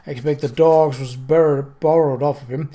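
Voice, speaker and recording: A man voicing an excitable elderly postman who stammers and gets high and eager. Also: normal speed, natural voice. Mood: chatty. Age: older.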